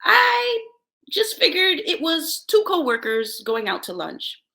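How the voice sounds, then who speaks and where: High-pitched, very animated motivational woman. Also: takes it slowly, vocal fry. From Jamaica. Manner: emphatic, expressive, repeats key words for effect. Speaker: self-help show host. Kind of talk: motivational talk with personal storytelling.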